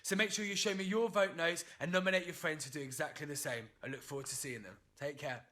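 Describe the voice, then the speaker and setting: Bright-voiced man in a video message. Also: on the high side, fairly even intonation, quick pace. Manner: friendly, addressing fans directly. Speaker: singer sending a video message. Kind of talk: short recorded video message.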